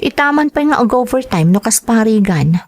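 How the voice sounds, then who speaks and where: A woman's voice: fairly high pitch, expressive, moderate pace, a little mellow. Caring Ilocano village mother, acted by a woman. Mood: worried, pitying. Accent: Filipino, Ilocano. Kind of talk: radio drama acting with narration.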